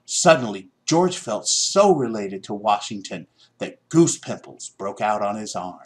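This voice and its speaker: A man's voice: on the low side, sing-song, a little slow. A middle-aged American man narrating a children's story expressively. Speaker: storybook reader.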